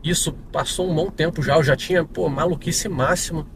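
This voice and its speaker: A man's voice: on the high side, steady tone, brisk, gravelly. A young man with a São Paulo interior accent, telling his conversion testimony in a rambling, casual way, recorded outdoors on a city street. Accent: São Paulo interior Brazilian Portuguese. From interior of São Paulo state, Brazil. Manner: rambling, many fillers and restarts. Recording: outdoor street with passing traffic.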